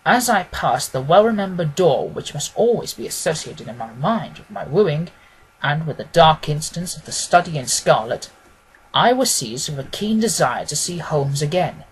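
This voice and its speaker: A man narrating a classic detective story as an audiobook, reading in a measured, formal, expressive way. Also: on the high side, slight background noise, rough recording.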